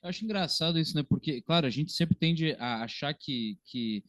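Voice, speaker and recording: A young man co-hosts a casual film podcast. His voice is somewhat high for a man. The sound is dry, with hardly any room echo. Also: lively intonation, normal speed. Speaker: podcast co-host.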